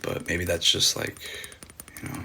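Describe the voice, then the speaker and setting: A man's voice: unhurried, bright, forceful tone, a little hiss or hum. A young man streaming live ASMR, chatting casually with viewers in laid-back slang and thanking donors, sometimes dropping to a whisper. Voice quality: describes his voice as medium deep, sometimes deeper.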